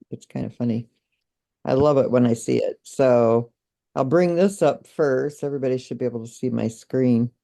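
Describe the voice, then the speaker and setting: A woman's voice: extremely low pitch, normal speed, vocal fry, warm tone. A woman co-hosting a video call, casually walking through figures on her shared screen with fillers and short laughs. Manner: searching aloud, with fillers and small laughs. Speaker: co-host presenting project numbers.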